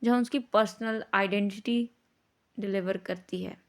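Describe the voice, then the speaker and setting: A woman's voice: mid-range voice, steady tone, unhurried. A woman explains a lesson in an informal, conversational way, mixing in English words and often checking understanding with short tag questions. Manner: mixes English words into speech. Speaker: teacher.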